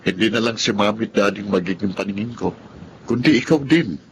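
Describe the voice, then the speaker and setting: A man playing a gentle, earnest, protective blind older brother in a Filipino radio drama. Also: on the low side, fairly quick, lightly acted, rough recording. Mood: tender, earnest. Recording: clear background ambience noise.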